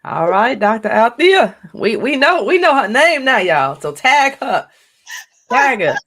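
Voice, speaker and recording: A woman's voice: a little high, very animated, a little slow, a bit bright. A woman hosting a podcast interview over a video call from home, affirming her guest with emphatic interjections. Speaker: podcast host, nursing coach. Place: home room, indoors.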